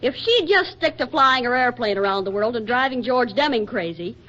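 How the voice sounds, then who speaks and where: Harried young American housewife, high-pitched, wry, brittle; vintage radio comedy, dull old recording. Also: female voice, animated, fairly quick, pushed and bright. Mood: sarcastic. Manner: wry, dramatically exasperated, brittle politeness. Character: harried, jealous young housewife and mother, sharp-tongued. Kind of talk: 1940s radio comedy acting. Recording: dull, band-limited 1940s radio recording.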